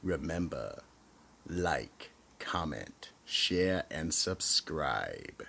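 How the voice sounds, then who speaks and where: Male announcer for horror narration. Extremely low, unhurried, dramatic swoops in pitch. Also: sing-song, creaky, pushed and bright, slightly rough. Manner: slow, theatrical, ominous. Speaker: storyteller, announcer.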